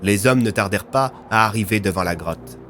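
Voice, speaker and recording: A man's voice: lowish voice, fairly quick, a bit bright, soft background music. A man narrating a scripted audio fiction as its storyteller.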